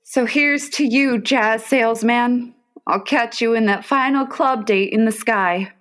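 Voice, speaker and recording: High-voiced devoted older wife played by a woman. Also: measured pace, fairly bright tone. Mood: wry, mournful. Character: devoted, wry older wife and mother, gently mournful. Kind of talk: comic radio play acting.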